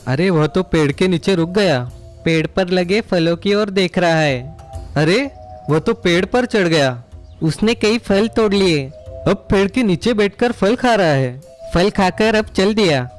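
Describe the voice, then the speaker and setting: A man's voice: sits high, animated, measured pace, soft background music. A man playing a greedy, scheming young village idler in a moral story, watchful and gloating. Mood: eager, watchful. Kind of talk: acted moral story dialogue.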